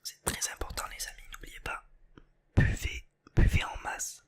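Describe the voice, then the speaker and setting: A person with a light southern French accent, whispering an informal, unscripted ASMR chat straight to the viewers. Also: a little slow. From southern France. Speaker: ASMR video creator.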